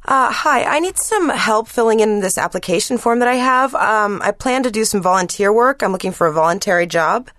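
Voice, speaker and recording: A woman's voice: mid-range voice, expressive, a little fast, hardly any pauses, a bit bright, dry recording, studio quality, natural voice. A woman playing a polite, hesitant young student applying for volunteer work in a scripted exam listening dialogue.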